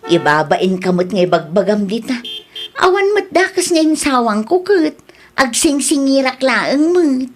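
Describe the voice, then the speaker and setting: A woman's voice: sits high, very animated, unhurried, soft background music, full character voice. A woman with an Ilocano accent playing a pushy, teasing friend who lends money, in a comedy radio drama. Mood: insistent. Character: pushy woman creditor friend demanding her money back.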